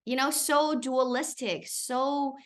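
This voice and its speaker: A woman's voice: high voice, steady tone, takes it slowly. A woman of around forty from China, speaking English with a Chinese accent, giving a thoughtful, searching answer at her dissertation defense and often checking in with "right?". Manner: thoughtful, searching for words, frequent tag "right?". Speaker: doctoral candidate defending a dissertation. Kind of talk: answering a committee's question in an academic defense.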